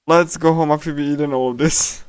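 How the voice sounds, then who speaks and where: A man livestreaming a game, casually commenting and reading aloud in a halting way, often repeating himself and stumbling. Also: a little high, steady tone, smooth, dry recording. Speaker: streamer. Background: game music.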